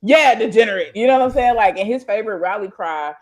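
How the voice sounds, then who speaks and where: A man co-hosting a loose political chat. He talks in quick slangy bursts and climbs into loud, exasperated exclamations. His voice sits a little high for a man. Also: expressive. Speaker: podcast co-host.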